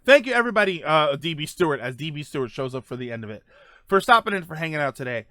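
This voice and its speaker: A man's voice: on the high side, lively intonation, fast talker, pushed and bright, no echo. A man hosting a casual live-stream show, answering viewer comments in a loose, rambling way with lots of fillers. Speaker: livestream show host.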